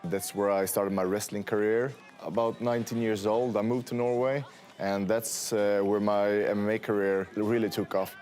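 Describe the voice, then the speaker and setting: A man's voice: lowish voice, flat delivery, normal speed, darkish tone, faint music behind. A young man from Sweden, a non-native English speaker, answering interview questions in a hesitant way with many fillers. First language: Swedish. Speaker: fighter interviewee.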